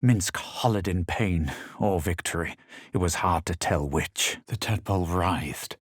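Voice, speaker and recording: A haughty fantasy game adventurer. He is a self-assured man with a low, slightly husky voice. His delivery is measured, theatrical and superior. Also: no room sound, clean studio sound. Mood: composed, measured. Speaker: video game voice actor. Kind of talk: fantasy game dialogue and narration.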